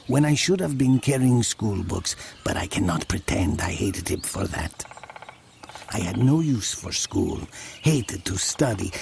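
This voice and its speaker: An actor playing a brooding village man who tells of his past in a measured, theatrical audio drama. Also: medium pitch, vocal fry, a little mellow, slight background noise. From southern Italy. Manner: slow, measured, theatrical, with pauses. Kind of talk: dramatic first-person audio drama narration.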